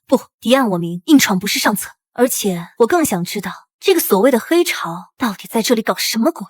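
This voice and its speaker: A woman playing a bold young princess who commands her team with self-assurance in an animated drama. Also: a little high, brisk, dry recording, clean studio sound. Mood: calculating. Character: bold young princess commander, money-minded, decisive and protective.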